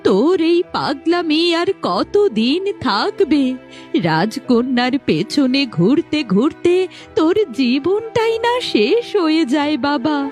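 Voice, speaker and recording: A woman's voice: high voice, very animated, takes it slowly, a little mellow, heavily acted. A woman playing a worried, doting mother of a grown son in a fairy-tale cartoon, fretful and affectionately chiding. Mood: worried, pleading. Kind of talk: animated fairy-tale dialogue. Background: music.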